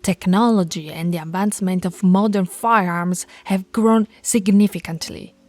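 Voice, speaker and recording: A woman voicing a dominant, stern alpha werewolf pack leader in an ASMR roleplay, scolding and taunting in a commanding, deliberate way. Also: fairly low pitch, fairly even intonation, darkish tone, no echo, lightly acted. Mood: stern lecturing.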